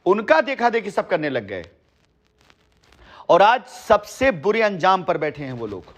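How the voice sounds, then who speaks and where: A man's voice: sits high, sing-song, a little slow. A man talking on a phone call in long, persuasive stretches, earnest and emphatic, often repeating himself. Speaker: company head addressing a customer by phone. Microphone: telephone.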